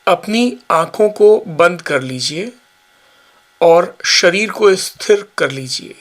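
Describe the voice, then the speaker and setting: Guy leading a yoga nidra session, super slow and calm, kinda high voice. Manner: slow, calm, measured guidance with long pauses. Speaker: yoga teacher. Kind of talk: guided yoga nidra instruction.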